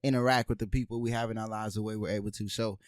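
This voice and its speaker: A young man from Haiti co-hosting a casual podcast, an animated storyteller who says "bruh" and "man" a lot. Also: lowish voice, fast talker, dry recording, studio quality. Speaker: podcast co-host. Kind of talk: casual chat.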